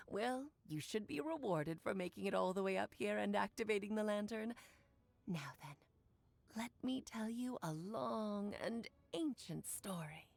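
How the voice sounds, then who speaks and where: A woman's voice: mid-range voice, expressive, a little slow, creaky. An actress playing a playful, worldly, motherly witch in a video game, with elegant, teasing, theatrical phrasing. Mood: storytelling. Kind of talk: theatrical game voice acting, storytelling. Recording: background music under the voice.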